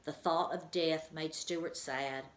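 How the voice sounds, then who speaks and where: A woman reading a children's book aloud as a teacher, with an expressive storytelling manner, in an echoey room. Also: sits low, slow pace.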